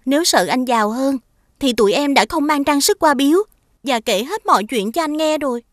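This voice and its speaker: An honest young wife in a children's cartoon. Her high voice is polite and earnest, recorded dry. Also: animated, brisk, no echo. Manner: respectful, earnest. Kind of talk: animated fairy-tale voice acting.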